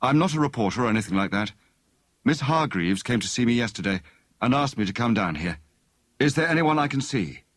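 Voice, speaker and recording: A man voicing a brisk, confident gentleman detective in an audiobook, authoritative and courteous. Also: medium pitch, expressive, no room sound, pitched above the performer's own voice. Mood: brisk, insistent.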